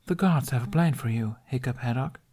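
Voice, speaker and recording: A man voicing a serene young Viking maiden for an audiobook, a mystical warden who speaks softly, formally and with knowing amusement. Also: medium pitch, fairly quick, voice pushed up, natural voice. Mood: knowing, teasing.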